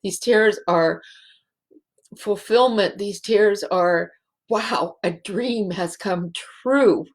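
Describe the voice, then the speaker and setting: A woman hosting a spiritual show, speaking in a halting, emotional way and close to tears. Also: mid-range voice. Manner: slow, halting and emotional, many restarts. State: teary. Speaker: show host, healing facilitator. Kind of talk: heartfelt spiritual show monologue.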